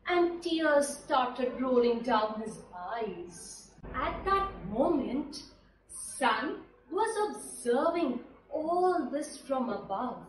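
A young woman telling a children's story in a slow, sing-song way for a school assembly. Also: high-pitched, slight background noise. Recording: echoey room, distant mic.

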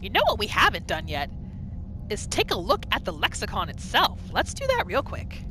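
Excitable woman gamer with very animated delivery. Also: medium pitch, normal speed, background noise. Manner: excitable, exclaims and talks to game characters. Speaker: gaming video host. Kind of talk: playthrough commentary, roleplaying in third person. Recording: game music behind the voice. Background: video game music and sounds.

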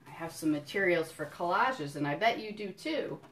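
A woman doing a children's storytime, reading a picture book in deliberate phrases and explaining things simply to young listeners. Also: fairly low pitch, animated. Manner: addresses young listeners directly with questions and encouragement. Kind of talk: children's storytime read-aloud with friendly asides.

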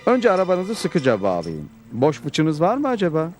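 A brave cartoon cowboy with a fairly high male voice and animated intonation. He is polite, practical and quick to caution, ready to help. Music plays behind him. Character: brave, helpful cowboy hero, calm and practical. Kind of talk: cartoon dub acting.

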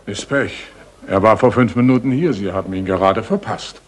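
An actor playing a deferential, gossipy but honest village innkeeper in a radio play, talking politely and a little hesitantly. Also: male voice, lowish voice, normal speed, slightly rough. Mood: polite, reluctant. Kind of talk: German radio play acting, 1980s. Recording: 1980s radio play with audible noise.

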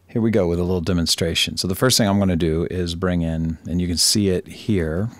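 A man presenting an informal software demonstration, rambling a little, correcting himself and joking dryly. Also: low voice, steady tone, brisk, no echo, clean studio sound. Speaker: tutorial presenter.

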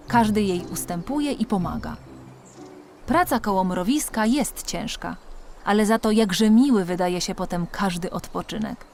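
A woman narrating a scripted children's audiobook story in an expressive storytelling manner. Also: medium pitch, a little hiss or hum. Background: music.

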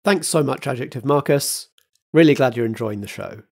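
A man's voice: medium pitch, fairly quick, creaky. A man, acting as the show's theatrical compere and reading out announcements in a camp, luvvie manner. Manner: camp, luvvie stage host. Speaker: podcast compere.

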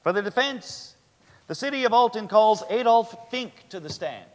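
Guy acting formal methodical old-time courtroom lawyer, high pitched, stage reading in echoey hall. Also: expressive. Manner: formal, deliberate recitation. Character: formal, methodical male defence lawyer of the 1890s. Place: large theatre stage, indoors.

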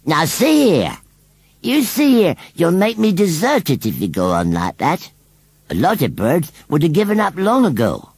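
A man's voice: fairly high pitch, wildly swooping pitch, moderate pace, gravelly, husky, dry recording, pitched above the performer's own voice, heavily acted. A man voicing a scruffy, stubborn and touchy storybook scarecrow with a rural English accent and emphatic dialect speech. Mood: huffy, argumentative. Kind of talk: children's storybook character voice.

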